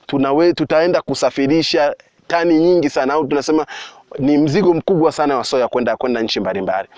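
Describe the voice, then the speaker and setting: A man's voice: on the high side, a little mellow, dry recording, clean studio sound. A man from Tanzania speaking for a farm company in a documentary, earnest and persuasive, with small restarts. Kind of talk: documentary address.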